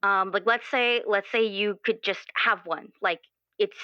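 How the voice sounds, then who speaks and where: Earnest, searching young character, acted by a woman, clear and bright, stumbling over thoughts in improvised tabletop roleplay, dry sound. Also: medium pitch, fairly even intonation, no echo, highs cut off. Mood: tentative curiosity. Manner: halting, rambling, often repeating words. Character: earnest, questioning twenty-year-old, restless with their assigned role. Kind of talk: improvised actual-play roleplay dialogue.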